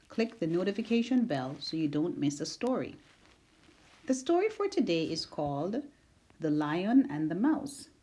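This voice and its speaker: Slow expressive woman reading a kids' story. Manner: slow and expressive, big pitch swings. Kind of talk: reading a children's picture book aloud.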